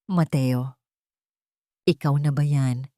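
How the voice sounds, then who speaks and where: A woman's voice: a bass voice, steady tone, takes it slowly, warm tone, dry recording, clean studio sound, voice pushed down. A woman voicing a poor, downtrodden young woman in an audio story, sad and timid in a subdued manner. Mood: hushed, stunned.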